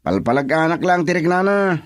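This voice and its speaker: A man's voice: on the high side, animated, fairly quick, natural voice. A man playing an angry, protective father in a radio drama, scolding with a Filipino accent. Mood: threatening. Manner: scolding, confrontational. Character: angry, protective father confronting his daughter's suitor. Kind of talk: Ilocano radio drama acting.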